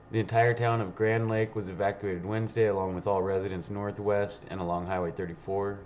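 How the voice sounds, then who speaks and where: A man's voice: low voice, darkish tone, slight background noise. A man hosting a livestream, rambling casually and reading news aloud in a blunt, sweary, offhand way. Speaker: livestream host.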